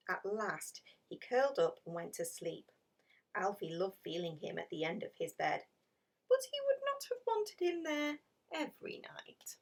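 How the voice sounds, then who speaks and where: A woman reading a children's picture story aloud in an expressive, dramatic storytelling manner. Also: mid-range voice, slightly rough, distant mic. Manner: animated, dramatic emphasis with hushed passages. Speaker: storybook reader.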